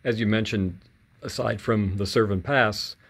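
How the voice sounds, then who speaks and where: A man's voice: on the low side, measured pace, a bit bright. A man working as a reporter, putting questions at a press conference.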